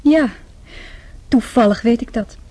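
A woman's voice: a little high, slow pace, dark tone, breathy edge. A woman acting in a radio play as a young secretary, polite and hesitant, nervous yet able to stand her ground. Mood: pointed. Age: young adult.

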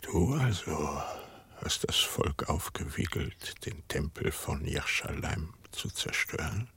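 A man playing an ailing, cruel Roman procurator in a radio drama, speaking in a halting, pained and menacing way. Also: extremely low pitch, animated, creaky, a bit bright, husky, dry recording, whispered, heavily acted. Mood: quiet menace.

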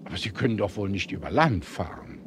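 An actor playing a sceptical, easily astonished professor in a 1970s radio play, formal yet expressive. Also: male voice, deep voice, fast talker, a little hiss or hum. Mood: incredulous, miffed.

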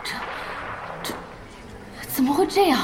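A woman's voice: a little slow, noisy background, lightly acted. A woman playing an eager, impatient young game fan in an audio drama, exclamatory and full of questions. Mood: puzzled, indignant.

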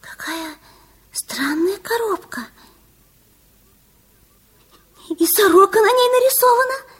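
A woman's voice: extremely high pitch, expressive, moderate pace. An actress playing an earnest, innocent little girl in a fairy-tale radio play. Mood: wondering. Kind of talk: 1960s Soviet radio play acting.

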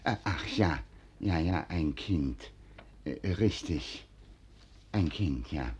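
An actor playing a doddering, lecherous old nobleman in a 1940s radio play, stammering and cooing with a breathy, shaky voice. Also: a bass voice, expressive, takes it slowly, darkish tone, slight background noise, exaggerated voice. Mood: flustered, evasive. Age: older. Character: doddering, lecherous old nobleman, fondly flustered new husband. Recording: vintage 1940s radio recording.

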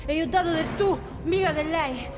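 A woman's voice: very high-pitched, a little fast. An actress playing a blunt, stubborn, desperate working-class woman with a Roman accent in a theatrical monologue. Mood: defiant anger. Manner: blunt, defiant, colloquial. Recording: hall reverb, faint music behind.

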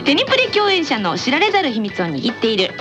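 A teasing, expressive woman hosting a playful radio segment, reading out questions and bantering casually. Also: high voice, fast. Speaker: radio show host, voice actor. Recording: music playing behind the voice.

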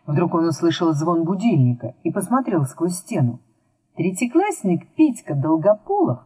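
Expressive woman reading a children's story. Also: medium pitch, breathy edge, no echo, poor audio. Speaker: audiobook narrator.

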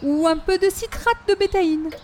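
A very high, fluty-pitched society lady from an old-time radio comedy. She is fussy and effusive, with precious, formal manners, over faint background noise. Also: moderate pace, darkish tone. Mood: fussy sympathy. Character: fussy, genteel, concerned lady visitor.

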